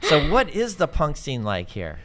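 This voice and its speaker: A man's voice: on the high side, huge pitch swings, unhurried, a bit bright. A man hosting a casual interview show, asking easygoing, joking questions. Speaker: podcast host.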